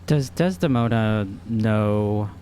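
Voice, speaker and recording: Low-pitched man role-playing at a table. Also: takes it slowly, mellow. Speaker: tabletop game player. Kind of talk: casual in-character questions and talk. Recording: faint hum, slight background noise.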